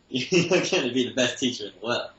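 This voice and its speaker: Bright-voiced, joking, laughing man. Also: mid-range voice, lively intonation, reverberant, dull audio. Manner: laughs a lot, joking, quick questions. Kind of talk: casual chat.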